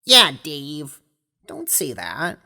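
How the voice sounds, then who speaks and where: Woman as lively, playful gamer girl. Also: low-pitched, drawn-out words, background noise, full character voice. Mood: uneasy. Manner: casual, hesitant, playful. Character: cheerful, playful, slightly scatterbrained gamer girl.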